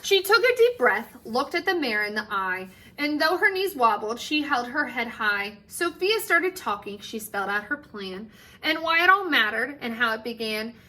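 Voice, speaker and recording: A woman teacher reading a picture book aloud to children with expressive delivery, dramatic pauses and drawn-out phrase endings. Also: on the high side, low-quality recording.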